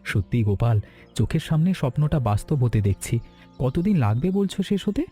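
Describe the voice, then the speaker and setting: Guy doing earnest hopeful village hotel owner, cartoon story, very dark mellow voice, music behind. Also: mid-range voice, big pitch swings, brisk, natural voice. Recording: background music throughout.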